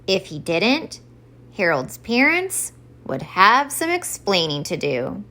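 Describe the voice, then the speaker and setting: A woman reading a children's storybook aloud as an expressive narrator for story time. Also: mid-range voice, takes it slowly.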